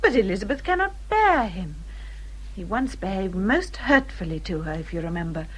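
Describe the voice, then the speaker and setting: An English actress playing a gentle, dutiful young gentlewoman in a period radio drama, with a polite, tactful, measured manner. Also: medium pitch, big pitch swings, husky, rough recording. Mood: thoughtful.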